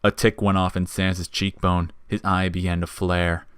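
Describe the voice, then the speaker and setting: A man's voice: sits low, flat delivery, moderate pace, clean tone, no echo. A man reading scripted narration for an audio fiction story.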